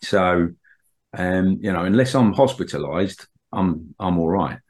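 A man's voice: low-pitched, expressive, unhurried, darkish tone. A man with a lingering cold, sounding throaty, speaking in a matter-of-fact, slightly hesitant way in a group chat. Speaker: podcast co-host. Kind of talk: casual group discussion.

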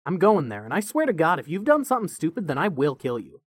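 An actor playing an anxious, blunt brother who swears and grows frantic with worry in a fan fiction audio drama. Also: male voice, on the high side, very animated, fast talker, slightly rough, no room sound. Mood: worried, agitated. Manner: urgent, agitated, blunt.

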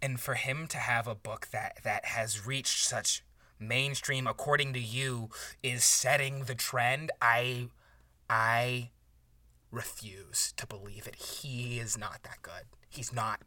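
A man's voice: mid-range voice, steady tone, slow, bright, forceful tone, no room sound. A man playing a bitter, insecure aspiring writer in an improvised comedy drama, agitated, stammering and sarcastic. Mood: bitter, indignant. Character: bitter, insecure aspiring male writer jealous of his rival.

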